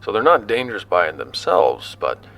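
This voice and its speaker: Man acting a deep-voiced explorer telling his tale. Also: big pitch swings, normal speed, fairly bright tone, exaggerated voice. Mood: animated recounting. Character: young male explorer recounting his expedition, awed and casual. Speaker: narrator of an audio drama. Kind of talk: conversational first-person storytelling in a sci-fi audio drama. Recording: music under the voice.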